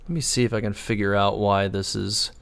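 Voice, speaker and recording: A man with a low voice streams a video game live. He talks casually to his viewers, thinking aloud and explaining what he is doing. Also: fairly even intonation, a little fast, vocal fry, a bit bright.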